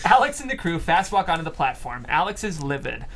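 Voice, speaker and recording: A narrator reading stage directions aloud at a comedy table read in an amused, animated way. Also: mid-range voice, brisk, slight background noise.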